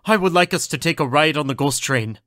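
A fairly high, animated male voice , an actor's, closely and dryly recorded. He is a formal, old-fashioned doctor of an audio drama, genteel and blithely cheerful. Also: a little fast, no echo, studio quality. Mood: eager. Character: genteel, cheerfully oblivious time-displaced doctor from the past.

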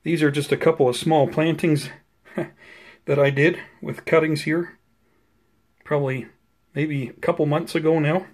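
A man's voice: on the high side, steady tone, measured pace, long pauses, a little mellow. Casual, conversational man narrating a plant update. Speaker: host of a plant-growing video channel. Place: indoors at home beside potted seedlings.

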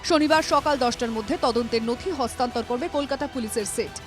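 A woman's voice: on the high side, quick pace, faint music behind. A woman reading a scripted news report in a dramatic, emphatic way. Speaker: news narrator.

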